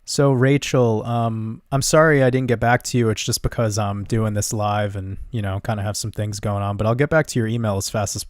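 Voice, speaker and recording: Gentle-voiced young man chatting live. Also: on the low side, brisk, hardly any pauses, no echo, clean studio sound. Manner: relaxed, rambling, laughs easily. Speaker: livestream host. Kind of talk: casual live chat answering viewer comments.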